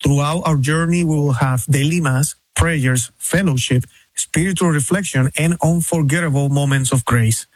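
A man with a slightly raised pitch and a breathy, husky edge reads a devout invitation. His delivery is measured and unhurried, slowing down on the key phrases. The sound is dry and close. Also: no echo. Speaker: pilgrimage promoter. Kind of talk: read promotional invitation.

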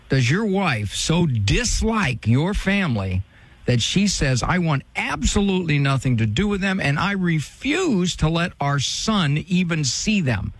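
A man's voice: fairly high pitch, no room sound. A man hosting an advice call-in show, talking directly and firmly and pressing the caller with pointed questions. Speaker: radio advice host.

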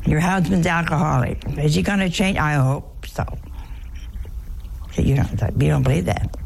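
A hoarse older woman hosting a TV program, teaching on faith in a folksy, casual way with chuckling asides. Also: low-pitched, darkish tone, slight background noise. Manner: folksy, chuckling asides, slow conversational delivery. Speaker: TV show host, nun.

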